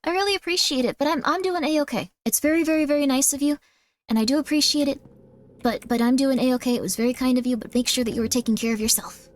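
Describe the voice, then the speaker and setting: A woman's voice: high-pitched, quick pace. A woman live-streaming a game, chatting in a bubbly way and often thanking her viewers. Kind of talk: casual live-stream chat while gaming.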